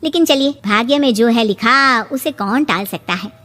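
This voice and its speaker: A woman's voice: a little high, moderate pace, a bit bright, lightly acted. A woman voicing a polite, resigned middle-aged father in a cartoon story.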